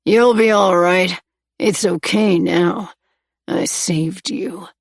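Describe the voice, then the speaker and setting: A frantic male kidnapper in an audiobook thriller, as a woman narrator puts him on, mumbling half to himself in a low, gravelly murmur. His words come out as muttered rambling, the voice rough with fry and recorded close and dry. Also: fairly even intonation, slow, no room sound. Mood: soothing. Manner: mumbling under his breath.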